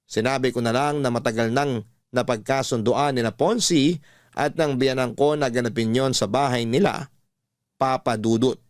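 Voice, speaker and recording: Calm man narrating a listener's letter. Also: mid-range voice, dry recording, clean studio sound. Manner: calm, measured storytelling.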